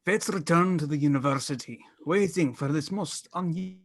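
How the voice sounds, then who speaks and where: A man acts as a courtly count hosting a horror radio play. His pitch sits a little high for a man. He narrates in a formal, theatrical way. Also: moderate pace. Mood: solemn. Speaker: host and narrator.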